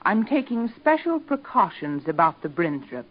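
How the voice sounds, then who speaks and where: An actress in an old radio soap playing an intense, scheming young fiancée who speaks with cool, insinuating determination. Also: female voice, medium pitch, big pitch swings, normal speed. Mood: intense, determined. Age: young adult. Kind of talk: 1940s radio soap acting. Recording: dull old radio drama recording.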